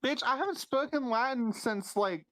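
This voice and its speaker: A man's voice: very high voice, moderate pace, fairly bright tone, low-quality recording. A man chatting informally as a co-host on a reaction stream, casually sweary in his talk. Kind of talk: casual chat, reacting to videos.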